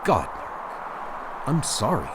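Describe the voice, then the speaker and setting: A man's voice: mid-range voice, huge pitch swings, extremely slow, background noise, heavily acted. A man voicing a caring, authoritative starship captain in a comic audio drama, speaking directly. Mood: grave, sympathetic.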